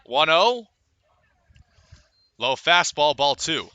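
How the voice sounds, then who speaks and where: A man calls a baseball game live on the radio. His voice is strikingly bright and sharp, sitting a little high for a man. He describes the play in measured phrases, leaving pauses between calls. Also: sing-song, clean tone, no room sound. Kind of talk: live sports commentary.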